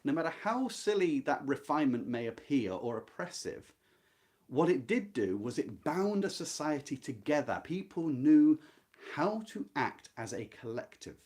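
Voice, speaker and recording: A man explaining a novel to viewers as a readalong host, speaking emphatically and deliberately and repeating his key points. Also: fairly high pitch, big pitch swings, a little mellow. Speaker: host of a book readalong, literary commentator.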